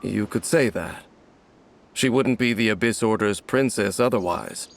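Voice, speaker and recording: An actor playing a grave, composed knightly guide in a video game, measured and solemn as he explains. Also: lowish voice, vocal fry. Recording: faint ambient noise behind.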